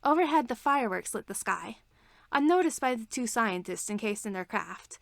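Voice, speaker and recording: Woman narrating a story, voice a bit high, dry close sound. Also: animated, moderate pace, gravelly, dry recording. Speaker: podfic reader.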